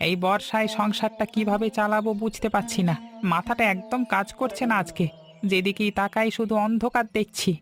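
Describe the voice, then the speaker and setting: A man's voice: high voice, fairly even intonation, fairly quick, a bit bright, faint music behind. An actor playing a weary, poor middle-aged fisherman, a worried and devoted husband, in a dramatic cartoon folk tale. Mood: anxious.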